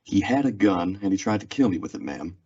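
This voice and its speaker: Man as stoic Old West lawman, deep voice, plainspoken, polite, husky, old-time radio drama, dull recording. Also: very animated, brisk, warm tone, heavily acted. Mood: somber, firm. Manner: terse, restrained, polite.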